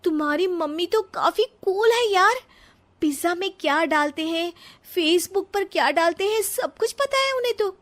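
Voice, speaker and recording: A woman's voice: very high voice, animated, normal speed, pitched above the performer's own voice. A woman voicing a reluctant, scheming young city bride in a Hindi cartoon story, exclamatory and complaining. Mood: surprised.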